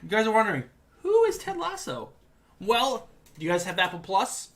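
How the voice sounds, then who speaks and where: A man's voice: sits high, huge pitch swings, moderate pace. Rambling New England man, casual. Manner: enthusiastic, rambling with restarts and fillers. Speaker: podcast co-host. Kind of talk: casual chat.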